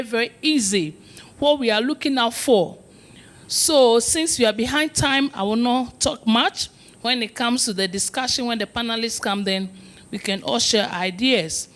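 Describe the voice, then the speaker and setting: A woman's voice: on the high side, a bit bright. A woman moderating a forum session, formally introducing the lead presenter.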